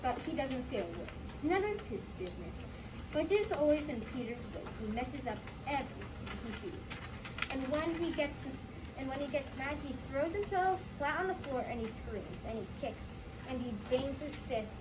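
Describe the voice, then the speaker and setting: A woman's voice: high-pitched, animated, moderate pace. A young female student narrating a scripted story aloud. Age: child, fourth grade. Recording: distant microphone, clear background noise.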